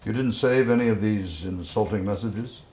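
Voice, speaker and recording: A man acting as a calm, persistent, skeptical police interrogator, probing in an understated film style. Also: on the low side, moderate pace, close to an everyday voice. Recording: faint background noise.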